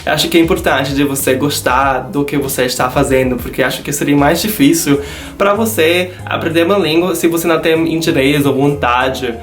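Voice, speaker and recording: A man's voice: fairly high pitch, brisk. Chatty young American man, echoey room. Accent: American English. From United States. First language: English. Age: young adult. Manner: chatty, keeps addressing viewers as "galera". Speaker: youtuber. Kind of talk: casual vlog talk to camera. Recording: echoey room with faint guitar music.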